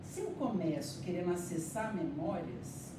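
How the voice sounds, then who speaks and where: A woman's voice: lowish voice, expressive, moderate pace. Woman, lecturer, explains and asks students questions, echoey room, distant mic, background noise. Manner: explanatory, checks understanding with "tá?" and "ok?". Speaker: lecturer teaching hypnosis and neuroscience.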